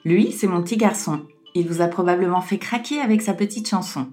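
A woman's voice: fairly low pitch, brisk, soft background music. A woman hosting a podcast, reading a scripted introduction and then asking interview questions. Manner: steady read narration. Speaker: podcast host.

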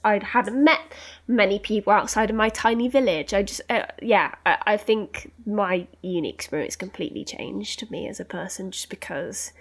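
A young woman from Bath hosting a casual podcast chat, reacting in an animated way and often restarting her sentences. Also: medium pitch, normal speed. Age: young adult.

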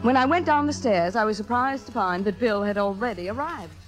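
Woman acting jealous female stage star, highish voice, biting theatrical sarcasm, 1950s radio play, music in the recording. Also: brisk, lightly acted. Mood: wry foreboding. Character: jealous, sharp-tongued forty-year-old female stage star.

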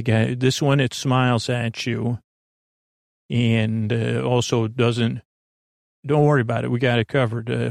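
A man hosting a bedtime podcast in a creaky, soothing voice, meandering through halting tangents and interrupting himself. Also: medium pitch, darkish tone, dry recording, clean studio sound. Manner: lulling, soothing. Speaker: podcast host. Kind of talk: rambling bedtime sleep-podcast monologue.

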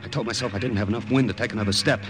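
An actor playing a tense American wartime agent recounting a jungle mission in dramatic, clipped 1950s radio style. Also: male voice, fairly low pitch, very fast, natural voice. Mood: grim, tense. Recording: old radio recording with loud music.

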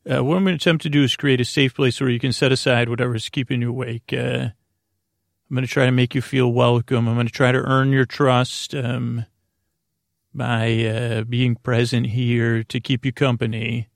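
A man with a creaky voice hosting a bedtime podcast in a soothing, rambling, self-deprecating monologue that wanders off easily. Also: medium pitch, level tone, normal speed, no room sound, clean studio sound. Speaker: podcast host.

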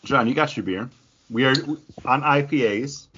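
A man's voice: medium pitch, normal speed, fairly bright tone, a little hiss or hum. A man hosting a podcast in a casual, conversational way. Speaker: podcast host. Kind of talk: casual chat. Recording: dull lo-fi audio.

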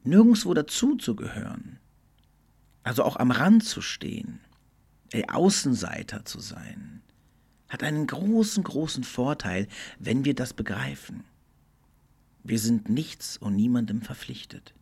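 A middle-aged man hosting a podcast, speaking in a thoughtful, earnest monologue that often slows and drops almost to a whisper. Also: medium pitch, animated, gravelly, a little mellow, husky. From Germany. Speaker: podcast host. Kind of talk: reflective solo podcast monologue.

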